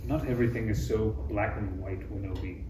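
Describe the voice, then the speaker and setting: A man's voice: lowish voice, brisk, natural voice. A man playing a suave, condescending villainous count with pompous phrasing in a parody table read. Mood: smug. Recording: echoey room, distant mic, faint noise.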